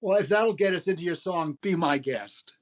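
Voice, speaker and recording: A man's voice: on the high side, moderate pace, natural voice. An actor playing a genial male master of ceremonies on a 1930s Hollywood radio show, with polished, good-natured patter. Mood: flustered, good-humored.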